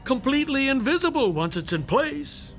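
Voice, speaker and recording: Insistent doctor, wry, brisk, high-pitched man's voice, radio drama, soft music behind. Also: sing-song, exaggerated voice. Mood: smooth, reassuring. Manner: dry, sardonic, insistent.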